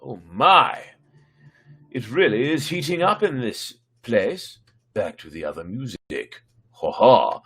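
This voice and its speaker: A man's voice: medium pitch, lively intonation, takes it slowly, a bit bright, husky. A man hosting a livestream in casual, chatty style. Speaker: livestream host. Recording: music behind the voice.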